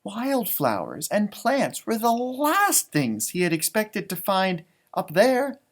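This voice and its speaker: A man's voice: sits high, slow pace. A man reading a storybook aloud to children as a teacher, expressively, with informal explanatory asides. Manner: expressive, explains words and pictures simply for children.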